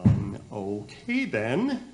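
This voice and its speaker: A man's voice: mid-range voice, wildly swooping pitch, very slow, loud music behind the voice, heavily acted. A man playing an earnest, put-upon nephew, a flustered radio sidekick in a comic radio play, with a hesitant, fussy manner.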